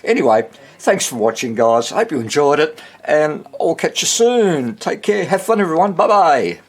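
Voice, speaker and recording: A man's voice: fairly high pitch, big pitch swings, normal speed. A man with an Australian accent chatting casually to camera about a repair, rambling and thinking aloud in an easygoing way. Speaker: host of a vintage radio repair channel.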